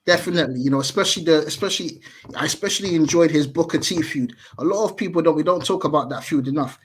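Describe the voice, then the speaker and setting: Thoughtful thirties man, slightly high voice. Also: fast talker, breathy edge. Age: 30s. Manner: thoughtful, hedging, self-correcting. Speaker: podcast guest. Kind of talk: casual podcast chat over video call. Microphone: video call.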